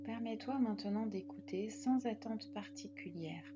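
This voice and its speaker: Slow, soothing woman guiding relaxation. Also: fairly high pitch, highs cut off. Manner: calm, slow, soothing delivery. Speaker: yoga nidra guide. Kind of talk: guided relaxation meditation.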